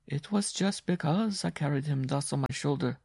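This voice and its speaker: A man reading a story, voicing an exhausted, frightened circus strongwoman in a raised, pleading voice with a gravelly, husky edge. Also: a little high, moderate pace, a little mellow, dry recording, pitched above the performer's own voice. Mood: weary pleading. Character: worn-out desperate circus strongwoman, young adult.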